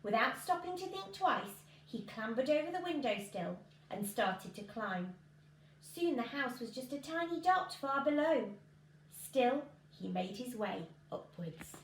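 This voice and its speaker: A woman's voice: on the high side, expressive, unhurried. A woman in her thirties with an English accent, reading a children's storybook expressively. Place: small living room, indoors. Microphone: camera a couple of metres away. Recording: roomy home recording with clear background noise.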